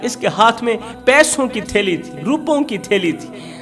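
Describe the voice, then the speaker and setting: A man's voice: very high voice, animated, unhurried, fairly bright tone, soft background music. A man preaching through emotional storytelling, dramatic, repeating phrases for emphasis.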